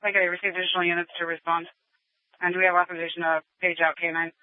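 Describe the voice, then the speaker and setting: A man's voice: high-pitched, monotone, racing, no echo. A male dispatcher giving clipped replies and relays over police radio, heard through a scanner. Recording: sharp, dry scanner radio, telephone-like.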